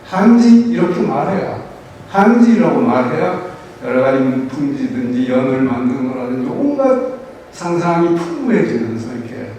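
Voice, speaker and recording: A man's voice: medium pitch, lively intonation, rapid-fire, dark tone, very echoey, in a large hall, recorded from a distance, a little hiss or hum, low-quality recording. A man asking a long, rambling question at a talk, with many fillers. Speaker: audience member asking a question.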